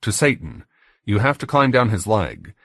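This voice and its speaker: A man's voice: lowish voice, huge pitch swings, normal speed, gravelly, fairly bright tone, no room sound, studio quality, exaggerated voice. Man playing a blunt, weary American captive. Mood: earnest. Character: weary, defiant educated American man in hell.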